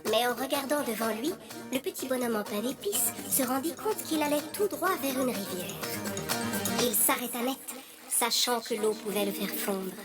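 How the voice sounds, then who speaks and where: A woman with a fairly high voice narrates a children's fairy tale from a script. Music plays clearly behind her. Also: lively intonation, moderate pace.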